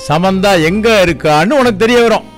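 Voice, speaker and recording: Stubborn old grandpa, high voice, gloating and bossy, radio drama, light music. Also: male voice, animated, moderate pace. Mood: bossy, demanding. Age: older.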